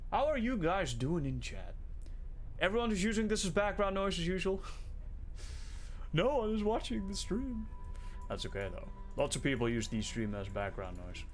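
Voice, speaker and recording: A young man with a Dutch accent and a calm, soothing voice, rambling in stream-of-consciousness fashion as he streams and comments on a game. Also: fairly high pitch. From Netherlands. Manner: rambling stream-of-consciousness musing, thinking aloud. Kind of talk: casual livestream commentary while playing.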